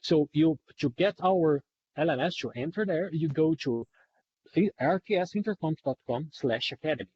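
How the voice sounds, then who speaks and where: A man's voice: on the high side, expressive, normal speed, a little mellow, husky. A man who is a non-native English speaker presents a training webinar, repeating and rephrasing points for emphasis. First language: not English. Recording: dull lo-fi online webinar audio.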